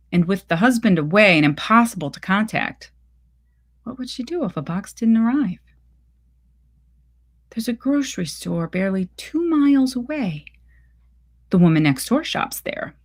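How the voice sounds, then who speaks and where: Female story reader, lower-pitched voice, measured and deliberate, literary narration.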